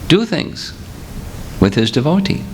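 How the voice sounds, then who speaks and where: A man's voice: a little high, very animated, a little slow, slight background noise. A man giving a seminar talk as a spiritual teacher, explaining patiently with examples and answering questions. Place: seminar room with audience.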